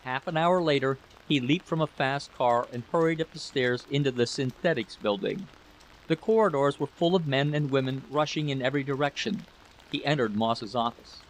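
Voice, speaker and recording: A man's voice: mid-range voice, moderate pace. A man reading a scripted dramatic story as its narrator, on a noisy recording.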